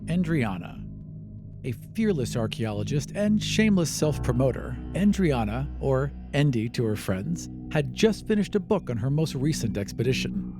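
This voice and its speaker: A man's voice: mid-range voice, very animated, normal speed, over music. A man narrating a tabletop fantasy adventure as game master, in a scripted storytelling style.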